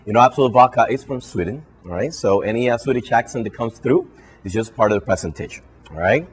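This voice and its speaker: A man's voice: medium pitch, animated, fast, a little hiss or hum. A man from Puerto Rico with a Puerto Rican Spanish accent, Spanish his first language, giving a live training to bartenders and ending nearly every phrase with "all right?". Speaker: brand ambassador and trainer. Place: hotel function room with an audience.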